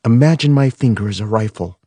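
A man's voice: on the low side, a little fast, dark tone, husky, dry recording, voice pushed up. A man voicing a frightened, stern mother who whispers urgent warnings to her children and drills them harshly.

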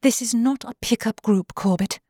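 A woman's voice: a little high, normal speed, mellow, no echo, clean studio sound, pitched above the performer's own voice, close to an everyday voice. A woman voicing a composed, earnest female magic user who leads an adventuring party, patient but firm, in a fantasy audiobook. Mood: imploring.

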